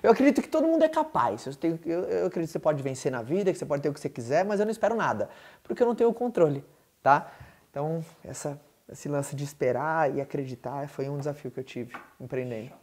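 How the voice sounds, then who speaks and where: Fast-talking energetic man. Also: a little high. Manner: energetic, emphatic, many fillers. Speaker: podcast host answering questions. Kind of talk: casual motivational talk.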